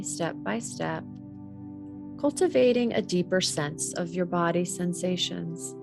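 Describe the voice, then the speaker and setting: A woman guiding a body scan meditation in a measured, gently instructional way. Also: on the low side, gravelly. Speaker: meditation teacher.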